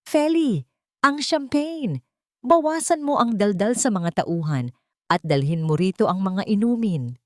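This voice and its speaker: A woman's voice: mid-range voice, animated, measured pace, no echo, clean studio sound, voice pushed up, lightly acted. A woman voicing a haughty, scornful wealthy matron who gives proud commands in a dramatic story. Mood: imperious.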